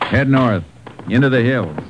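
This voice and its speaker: An actor playing a steady, authoritative Texas Ranger with an American accent, terse and matter-of-fact in an old-time radio drama. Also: male voice, mid-range voice, unhurried, heavy background noise, close to an everyday voice. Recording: dull vintage radio recording.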